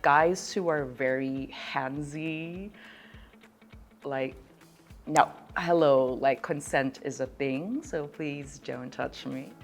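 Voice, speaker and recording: A woman's voice: low voice, slow pace, faint music behind. A young woman from Thailand talking casually and expressively as a guest on a discussion show. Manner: expressive, animated, laughs often. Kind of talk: casual conversation.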